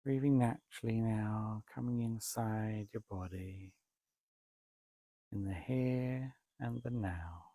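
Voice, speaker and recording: A man with a lowish, creaky voice guides a meditation in a calm, unhurried way. He speaks very slowly, leaving space between short phrases, and the sound is close and dry. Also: no echo. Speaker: meditation teacher, Buddhist monk.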